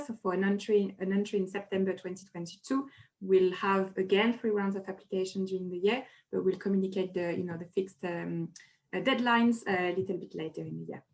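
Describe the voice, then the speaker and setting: A woman from the admissions staff explaining application rounds and deadlines on a video call, informal and friendly, with fillers. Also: medium pitch.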